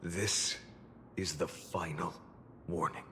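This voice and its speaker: An actor playing a weary, grim man burdened by endless memories, speaking in a brooding, measured, dramatic way for a video game cutscene. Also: low-pitched, steady tone, heavy background noise. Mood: grim warning. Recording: loud orchestral game music behind.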